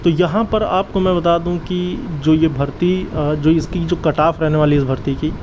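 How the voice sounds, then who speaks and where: A man giving informal exam updates and advice in an earnest, urging way, addressing listeners like brothers. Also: a little high. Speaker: exam-update video creator and advisor. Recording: dull lo-fi audio, clear outdoor traffic-like noise.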